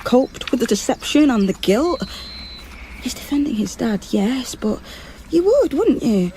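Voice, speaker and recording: A woman's voice: on the high side, sing-song, a little slow, mellow, a little hiss or hum. An actress playing a determined, frustrated undercover policewoman in a naturalistic radio drama, speaking in an intense, insistent way. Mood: earnest, urgent.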